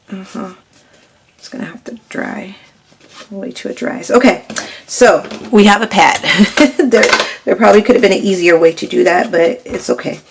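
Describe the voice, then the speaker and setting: A woman's voice: medium pitch, gravelly. A woman with a slightly nasal, stuffy voice and a scratchy throat, chatting through a crafting tutorial step by step and asking "right?" as she goes. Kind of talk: casual step-by-step crafting demo.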